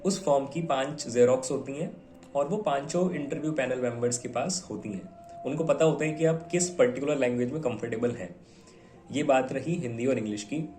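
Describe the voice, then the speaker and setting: A man's voice: medium pitch, fairly quick, warm tone, a little hiss or hum. A young man from India, giving casual, conversational advice to viewers and mixing Hindi with English words. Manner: conversational, mixes Hindi with English words, fillers like "theek hai". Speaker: bank officer giving exam advice. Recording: echoey room.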